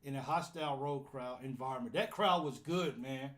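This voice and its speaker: A man's voice: medium pitch, moderate pace, fairly bright tone. A man hosting a live fan call-in show, casual and flustered while fixing his audio setup. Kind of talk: casual live-stream chat.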